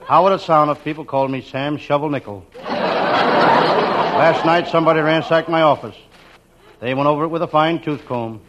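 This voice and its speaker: A man playing a wisecracking, down-on-his-luck private detective in a 1940s radio comedy, with deadpan, slangy delivery. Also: on the high side, normal speed, pauses often, close to an everyday voice. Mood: deadpan, wry. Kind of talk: 1940s radio comedy acting, scripted first-person narration. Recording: muffled, very noisy old radio recording.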